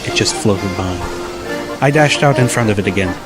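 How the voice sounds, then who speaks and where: A man's voice: fairly low pitch, moderate pace. A man reading scripted first-person story narration as an audiobook narrator. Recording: loud music bed under narration. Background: music.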